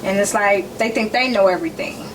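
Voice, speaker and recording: A woman in her forties chatting casually as a podcast guest, talking about her work in short relaxed phrases. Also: mid-range voice, brisk. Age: 40s. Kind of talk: casual chat. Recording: noisy, distant mic.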